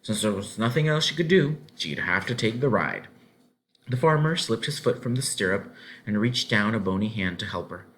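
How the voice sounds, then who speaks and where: A man narrating a children's novel aloud in an expressive storybook style. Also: lowish voice, normal speed, a little hiss or hum, low-quality recording.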